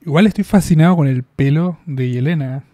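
A young Argentine man gives a casual spoken review into a boom mic in a small room. His voice sits a bit high but mellow, and it sounds dry and close. He is chatty, laughs often and stretches out his fillers. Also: a little fast, no echo, faint music behind. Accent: Argentine Spanish. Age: 20s. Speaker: reaction video host. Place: small room in front of packed collectible shelves. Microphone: studio mic on boom arm, headphones on.

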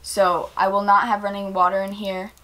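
A woman's voice: mid-range voice, moderate pace, rough recording. Young woman chatting casually on camera. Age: 20s. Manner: relaxed, rambling, many ums and likes. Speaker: vlogger. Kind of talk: casual Q&A vlog. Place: small indoor room, bed behind.

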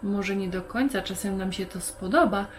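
A woman's voice: mid-range voice, moderate pace, a little hiss or hum. A woman hosting a Bible lesson for young children, explaining things simply and putting questions to the listener.

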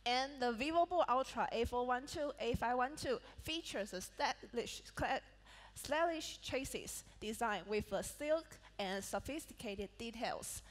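Woman with a Malaysian English accent, product keynote, fairly high voice, long hall reverb. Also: expressive, measured pace. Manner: reads prepared product points with small slips and restarts. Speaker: product manager presenting a laptop launch. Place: large event hall on a stage. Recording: reverberant event hall sound.

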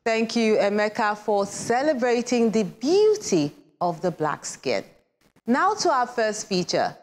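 A woman's voice: on the high side, big pitch swings, a little slow. A woman in her thirties with a Nigerian accent presenting a scripted television arts show as its host.

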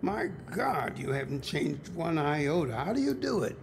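Gravelly older scientist, fatherly, kind of high for a man, game audio w/ noise. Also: sing-song, measured pace, heavily acted. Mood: welcoming. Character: older male scientist, warm fatherly lab leader. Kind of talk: video game voice acting. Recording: game audio under noisy commentary.

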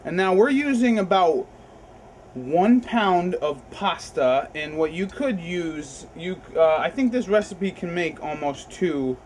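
Young male vlog host, easygoing kitchen chatter, slightly high voice, camera audio. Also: lively intonation, takes it slowly, a little mellow, slight background noise. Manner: chatty, loose and enthusiastic. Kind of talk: casual cooking vlog. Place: home kitchen.

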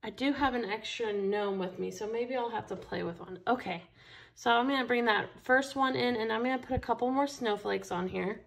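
Chatty woman with a gravelly edge. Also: a little high, brisk. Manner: chatty and enthusiastic, talks while working with her hands. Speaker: craft store instructor. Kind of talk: casual craft tutorial. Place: indoor craft table. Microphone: overhead camera, only her hands seen.

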